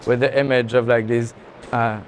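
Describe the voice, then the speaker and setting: A man giving unscripted art tour commentary in a halting, explanatory way. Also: mid-range voice, little pitch movement. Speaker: art gallery director in conversation. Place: indoor art fair hall. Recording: clear background noise of busy venue.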